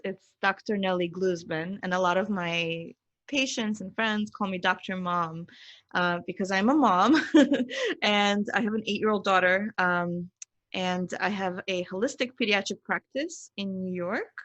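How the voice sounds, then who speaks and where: A woman with a medium-pitched voice, recorded dry with hardly any room sound. She speaks as an interview guest, explaining things earnestly and deliberately and leaning on key words. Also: a bit bright, no room sound, highs cut off. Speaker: podcast guest, pediatrician.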